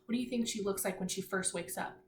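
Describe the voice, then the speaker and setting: A woman's voice: mid-range voice, fairly even intonation, fast talker. Lady teacher doing a kids read aloud, encouraging, asks questions, echoey room w a bit of background noise. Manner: encouraging, explains simply, prompts listeners. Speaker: elementary school teacher. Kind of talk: read-aloud to young children with asides and questions.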